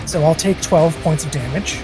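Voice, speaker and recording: Lower-voiced woman playing a tabletop game. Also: normal speed. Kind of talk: casual game talk, explaining rules. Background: background music.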